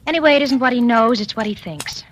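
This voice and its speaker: An actress playing a resolute young office assistant who gently ends an affair, in a 1940s radio drama. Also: female voice, on the high side, fast talker, natural voice. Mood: troubled. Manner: soft, firm, tender. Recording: old radio broadcast with faint hiss.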